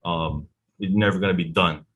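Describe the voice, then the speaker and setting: Man, very low voice, reflective, casual, fillers. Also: normal speed, breathy edge, highs cut off. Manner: reflective, relaxed, many fillers. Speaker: Hawaiian language teacher. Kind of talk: roundtable guest sharing.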